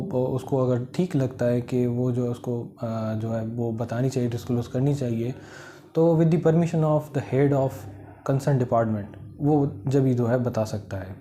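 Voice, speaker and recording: A man with a Pakistani accent giving an informal explanatory lecture, halting and repetitive, with many filler phrases. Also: mid-range voice, mellow. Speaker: law lecturer. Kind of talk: informal explanatory lecture, Urdu mixed with English legal terms.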